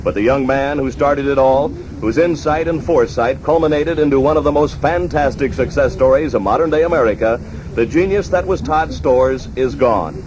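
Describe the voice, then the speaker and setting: A man's voice: medium pitch, normal speed. A man with a formal announcer delivery, reading scripted narration in the manner of a 1960s radio documentary. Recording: dull, noisy archival 1960s radio recording.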